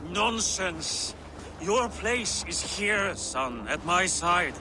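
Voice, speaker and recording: A man's voice: sits high, animated, drawn-out words, full character voice. A man playing a stern, commanding old Viking father and king in a game cutscene, speaking formally and weightily. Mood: stern, admonishing. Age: older. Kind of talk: dramatic game cutscene acting. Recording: clear background noise, waterside ambience.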